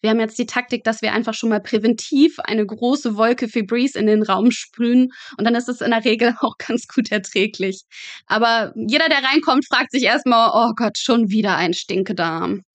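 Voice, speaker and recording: A woman's voice: a little high, moderate pace, dry recording. A young woman explaining things as a podcast guest in a casual, matter-of-fact way with dry humour. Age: young adult. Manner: matter-of-fact, colloquial, drily humorous. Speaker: podcast guest, medical student working in pathology.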